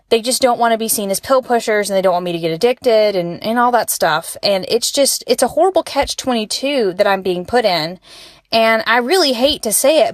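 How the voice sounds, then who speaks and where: A woman's voice: a little high, dry recording. A young woman from Alabama leaving a conversational listener voicemail, explaining her personal experience candidly. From Alabama, United States. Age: young adult. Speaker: listener calling in. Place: voicemail message recorded for a podcast.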